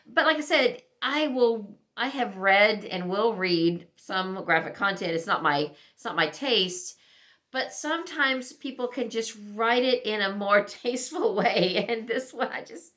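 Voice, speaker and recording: A woman talking casually as a book vlogger, thinking aloud in halting, conversational asides. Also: medium pitch, lively intonation, a bit bright. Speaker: book vlogger reviewing recent reads. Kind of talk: casual chat to camera.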